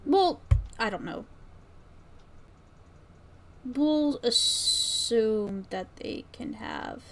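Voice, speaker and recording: A woman's voice: a little high, lively intonation, drawn-out words, vocal fry, a bit bright. A woman livestreaming as she works, thinking aloud casually and often trailing off mid-thought. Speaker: streamer. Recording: faint background noise, keyboard typing and clicking. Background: computer keyboard typing and mouse clicks.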